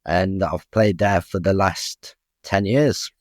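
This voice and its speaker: Young male guest, low register, dry close mic, candid and talkative. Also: takes it slowly, darkish tone, no room sound. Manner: open, frank, chatty, restarts with "I'll be honest". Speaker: guest boxer. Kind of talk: candid podcast interview.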